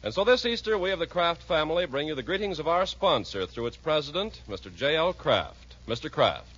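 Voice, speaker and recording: A man's voice: fairly high pitch, very animated, a little fast, fairly bright tone. A man announcing a 1940s radio program from a script with formal, polished delivery. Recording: dull, noisy vintage 1940s radio recording.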